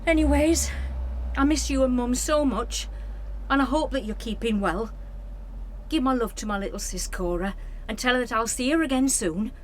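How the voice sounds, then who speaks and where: A woman's voice: a little high, moderate pace, slight background noise, natural voice, low-quality recording. A woman playing an affectionate, worried young woman reading her wartime letter home, earnestly, in a radio play. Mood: fond, wistful. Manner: earnest, heartfelt.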